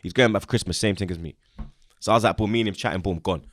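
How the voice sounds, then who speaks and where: A man's voice: sits low, racing. A young man with a London accent, hosting a podcast and telling a casual, slangy story. He acts out the lines of the people in it. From England. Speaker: podcast host.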